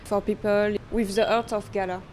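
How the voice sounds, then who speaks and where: French woman with a halting English. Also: medium pitch, little pitch movement, darkish tone, slight background noise. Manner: halting, searching for words with many fillers. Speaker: interviewer. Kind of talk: conference interview. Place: crypto conference venue.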